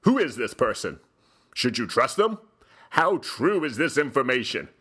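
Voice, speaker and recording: A man playing a pompous theatrical gentleman host, giving a mock-solemn dramatic reading with drawn-out pauses. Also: medium pitch, very animated, slightly rough, exaggerated voice.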